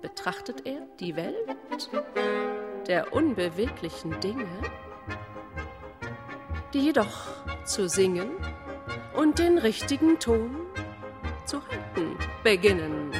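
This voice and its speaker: A woman narrating a radio play in a solemn, poetic, dreamlike way, measured and incantatory. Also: medium pitch, big pitch swings, music in the background.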